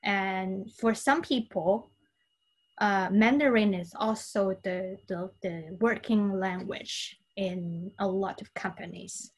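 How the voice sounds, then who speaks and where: A woman from China, a native Cantonese speaker, talks as a podcast guest with a Chinese accent. Her pace is slow and her pitch is average. She explains things conversationally, often repeating words as she goes. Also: fairly even intonation, breathy edge. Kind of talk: conversational interview answers.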